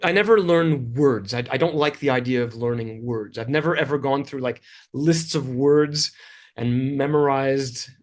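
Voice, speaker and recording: A man's voice: mid-range voice, normal speed. Man from Canada, middle-aged, interview guest, easygoing, rambling, lots of fillers. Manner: relaxed, rambling, frequent fillers and restarts. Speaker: interview guest, English teacher. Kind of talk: casual conversational interview.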